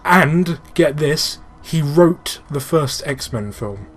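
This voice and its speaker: A man's voice: a little high, lively intonation, very slow, faint music behind. A man hosting casual gaming commentary, swearing freely and explaining things with enthusiasm. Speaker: host playing and explaining a video game. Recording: clearly noisy room recording.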